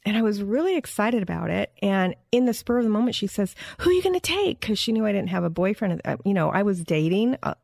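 A woman hosting an interview in a casual, chatty and expressive way, with an informal storytelling style. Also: mid-range voice, fast talker, creaky, few pauses, no room sound, clean studio sound. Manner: informal, expressive, acts out quoted dialogue. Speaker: interview host. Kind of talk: casual chat, animated anecdote telling.

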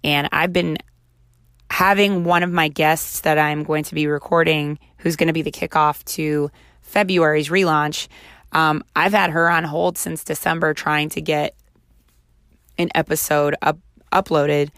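A woman with a raspy voice recovering from laryngitis, chatting casually and encouragingly on her solo podcast. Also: low-pitched, steady tone, a little slow, fairly bright tone, no echo, clean studio sound. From Houston, Texas. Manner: chatty, encouraging, self-reflective. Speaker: podcast host.

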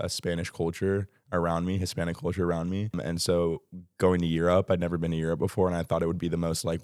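A man in his twenties with a Texan American accent, talking casually as a guest about student life, relaxed and rambling with lots of "like" and "um". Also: deep voice, dry recording, studio quality. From United States. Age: 20s. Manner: relaxed, rambling with many likes and ums. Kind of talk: casual interview chat.

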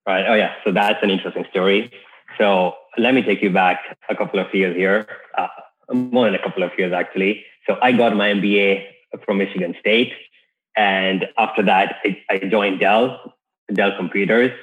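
Male guest, from Kenya, low-pitched, easygoing, heard down a tinny call line. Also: steady tone, normal speed. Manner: easygoing, with frequent restarts. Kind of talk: casual podcast interview.

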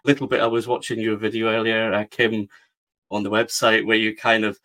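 A man's voice: fairly low pitch, little pitch movement, a little fast, a bit bright. A middle-aged man with a west of Scotland accent, speaking as a guest on an interview podcast, candid and reflective with frequent restarts. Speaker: guest author-publisher.

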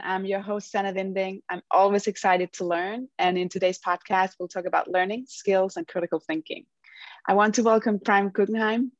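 A woman from Europe who hosts a podcast and interviews her guest with friendly, halting questions. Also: medium pitch, no echo, highs cut off. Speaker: podcast host. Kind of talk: interview hosting.

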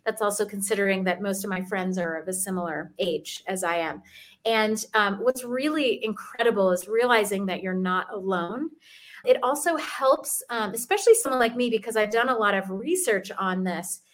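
Middle-aged woman, fitness expert, earnest and chatty, mid-pitched voice, frequent ums. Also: normal speed. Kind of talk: casual interview talk.

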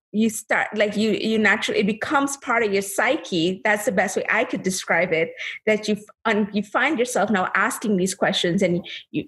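A woman in her thirties sharing reflections in a casual group discussion, earnest and thoughtful, dropping into quick quoted asides. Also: mid-range voice, husky. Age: 30s. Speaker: panel guest.

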